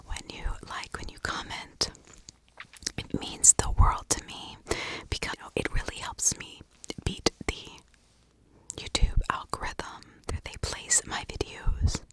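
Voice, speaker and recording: Whispering woman telling true crime, ASMR style. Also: poor audio. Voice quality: breathy unvoiced whisper. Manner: conversational, opinionated. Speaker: ASMR true crime storyteller, channel host. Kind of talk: whispered ASMR, informal storytelling with personal opinion.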